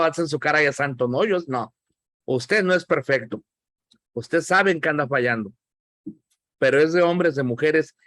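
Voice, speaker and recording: A man's voice: fairly high pitch, fairly bright tone, dry recording, clean studio sound. A middle-aged man with a Mexican accent, a pastor teaching an online Bible class in a joking, emphatic, friendly scolding way.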